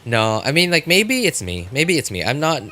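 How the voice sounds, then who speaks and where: A man chatting casually to viewers as a game livestreamer, rambling and often repeating himself in a halting way. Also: on the high side, big pitch swings, sharp tone, slight background noise.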